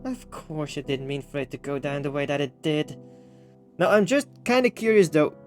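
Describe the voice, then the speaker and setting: A man's voice: fairly high pitch, expressive, moderate pace. A man reading a game story aloud and commenting on it casually, with informal slang. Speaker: gaming host reading a visual novel aloud. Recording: music behind the voice. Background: game music with guitar.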